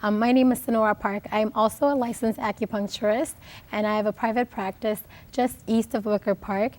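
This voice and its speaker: A woman co-hosting an informal educational show, her voice husky from laryngitis. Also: medium pitch, steady tone, a little fast, darkish tone. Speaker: co-host, acupuncturist and yoga instructor.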